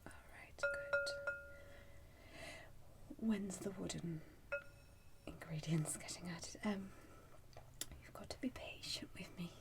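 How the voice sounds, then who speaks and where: A woman whispering softly and breathily in an ASMR roleplay, pausing often as she addresses the listener as a customer. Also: medium pitch, huge pitch swings, vocal fry. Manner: slow, pausing, unhurried. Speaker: ASMR performer playing a soup shop keeper.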